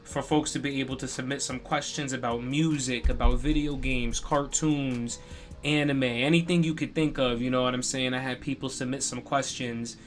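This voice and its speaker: A man's voice: medium pitch, steady tone, moderate pace, faint music behind. A young man hosting a live stream, talking in a casual, chatty way with lots of "you know" fillers. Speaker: streamer and podcast host.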